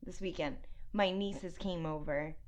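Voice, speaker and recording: A young woman co-hosting a podcast with a raspy voice today, chatting playfully and acting out quotes. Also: fairly low pitch, a little slow. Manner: animated, playful, acts out quotes. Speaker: podcast co-host. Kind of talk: casual chat. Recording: dull lo-fi podcast audio.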